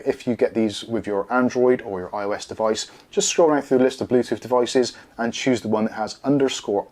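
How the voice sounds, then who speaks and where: A man narrating a casual product review in a measured, explanatory, mildly understated way. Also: medium pitch, darkish tone.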